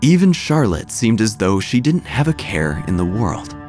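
A man with a fairly low voice tells a scripted comic horror tale. His delivery is wry and theatrical, with animated intonation, and music plays clearly behind him. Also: moderate pace. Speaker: narrator.

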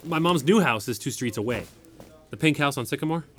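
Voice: medium pitch, expressive, a little fast, soft background music, close to an everyday voice. An actor in an audio comedy-drama playing an earnest, quirky, chatty neighbor who rambles naively and startles easily. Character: earnest, quirky, chatty neighbor accompanying an injured older woman.

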